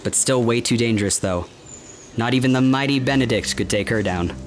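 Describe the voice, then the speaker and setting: An actor playing a gentle, earnest young male warrior in a fantasy radio play, with music underneath. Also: mid-range voice, a little fast, lightly acted. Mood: warning, teasing.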